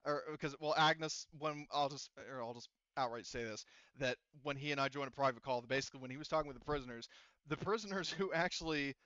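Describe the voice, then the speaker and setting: A man acting as game master for a tabletop roleplaying game. His voice is bright and clean, and he works through rules aloud with stops and starts. The sound is close and dry, if slightly dull. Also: medium pitch, clear, resonant tone, no room sound. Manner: halting, thinking aloud while checking rules. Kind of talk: running a tabletop roleplaying game, casual improvised explanation.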